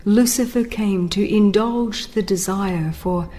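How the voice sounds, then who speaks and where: A woman narrating a religious book as a dramatized reading, solemn and reverent, with measured, dramatic emphasis. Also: medium pitch, warm tone, a little hiss or hum. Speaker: audiobook narrator.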